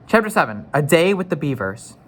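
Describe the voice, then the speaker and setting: A man's voice: on the high side, sing-song, brisk, fairly bright tone. A man narrating a children's novel in a read-aloud style, acting out the dialogue.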